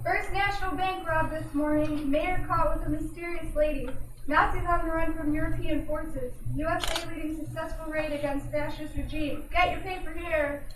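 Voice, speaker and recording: High-voiced streetwise newsgirl, played by a woman. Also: fairly even intonation, moderate pace, close to an everyday voice. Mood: hawking headlines. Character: cheeky, streetwise young newspaper girl hawking headlines. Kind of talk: 1940s radio drama acting. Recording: noisy, echoey room, distant microphone.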